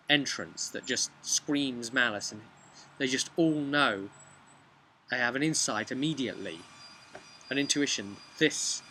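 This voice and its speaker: A man's voice: medium pitch, bright, forceful tone. A man in his thirties recounting a tabletop game as game master, mixing dramatic narration with casual asides, recorded outdoors. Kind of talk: casual vlog storytelling. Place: outdoors by a brick house wall. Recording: clear outdoor background noise.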